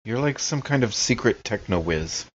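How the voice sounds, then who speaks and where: A man's voice: on the low side, expressive, moderate pace, lo-fi sound, slight background noise. A man improvising casually in character as a player in a tabletop horror game.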